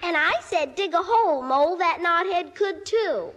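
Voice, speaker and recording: An actress playing a brash, slangy, wisecracking young daughter with an American accent in 1950s radio style. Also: female voice, very high voice, animated, normal speed, dull audio, noisy background. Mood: cheeky.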